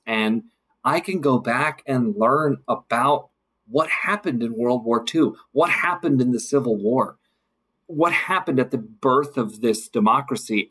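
A man speaking as a guest in a conversational podcast discussion, reflective and emphatic, with halting phrasing. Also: mid-range voice, fairly bright tone, slightly rough. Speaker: guest, city council member.

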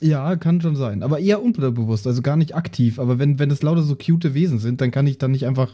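A man's voice: medium pitch, fast, dark tone. A man chatting casually as a regular member of a podcast group. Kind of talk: casual chat.

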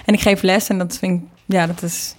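A woman's voice: on the low side, flat delivery, fairly quick, darkish tone. A young woman with a Netherlands Dutch accent, chatting informally in an interview and often restarting her sentences mid-thought. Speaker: interview guest, singer and singing teacher. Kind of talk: casual conversational interview.

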